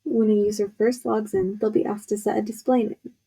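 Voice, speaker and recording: A young woman walks through a software demo. Her voice is extremely mellow and husky, with plenty of vocal fry at a middling pitch. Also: little pitch movement, brisk, poor audio. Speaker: student demo presenter.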